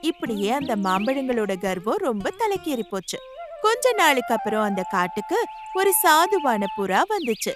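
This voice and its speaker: A woman narrates a children's story in a high voice. Her intonation is lively and varied, in a scripted storytelling style. Music plays clearly behind her.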